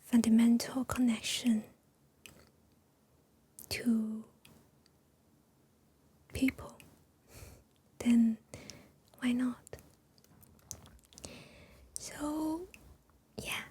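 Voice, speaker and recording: A woman whispering gently in an ASMR chat, pausing often with soft fillers, a Chinese speaker talking in English. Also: a little high, slightly rough. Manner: soft unvoiced whisper with many pauses and fillers. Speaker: ASMR creator.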